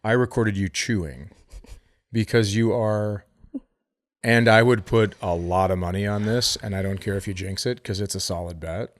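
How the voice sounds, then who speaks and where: A man co-hosting a podcast, telling gross stories in a lively, joking way while getting over being sick. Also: fairly low pitch, normal speed, no echo, studio quality. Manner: animated, graphic storytelling with playful teasing. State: recovering from illness, sore ribs. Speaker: podcast co-host. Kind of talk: casual comedic chat.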